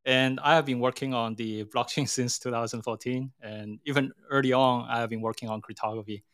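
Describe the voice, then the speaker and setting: A man's voice: mid-range voice, fairly even intonation, brisk, a bit bright, clear, resonant tone. A middle-aged man with a Hong Kong accent, answering interview questions in a hesitant, explanatory way, often rewording as he goes. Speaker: interview guest, tech company CEO.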